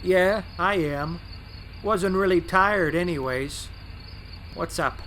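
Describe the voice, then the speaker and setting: A man's voice: sits high, slow pace, a bit bright, a little hiss or hum. A man playing a boastful, grouchy, easily offended switcher locomotive in a fan audio drama. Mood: casual. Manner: brash, indignant, boastful.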